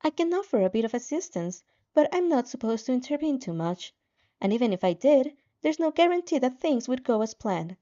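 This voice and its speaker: A woman plays a game-like system guide in a story. It sounds instructive and cagey, a little high in pitch and matter-of-fact, through dull lo-fi audio. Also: very animated, a little fast, mellow. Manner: explanatory, instructive.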